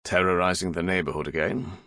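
A man's voice: low voice, fairly even intonation, fairly quick, bright, forceful tone, dry recording. A man playing a stern but fond middle-aged father in an audiobook, scolding in a dry, weary way. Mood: dry reproach.

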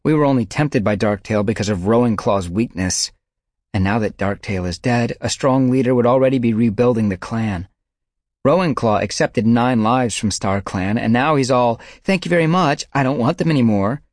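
A man's voice: medium pitch, normal speed, few pauses, no echo, clean studio sound, voice pushed up, lightly acted. A man voicing a slim young she-cat who snarls and talks with sarcasm and fiery scorn. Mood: angry.

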